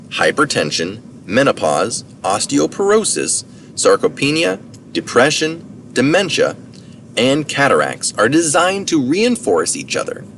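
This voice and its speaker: A man's voice: fairly low pitch, a bit bright. A man reads an article aloud in an informal way, carefully sounding out technical terms. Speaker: reader of articles. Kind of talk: reading an article aloud with brief asides. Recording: distant mic with faint background noise.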